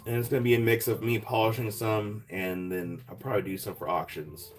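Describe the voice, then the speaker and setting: A man with a raspy voice, chatting casually as he sells rocks on a live stream and thanks buyers by name. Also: fairly low pitch, fairly even intonation, brisk, soft background music. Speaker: live stream rock seller. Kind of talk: casual live sale chat.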